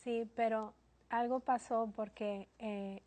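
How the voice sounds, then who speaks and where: A woman, telling her testimony as a guest in an earnest, steady way. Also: a little high, slow pace. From Colombia.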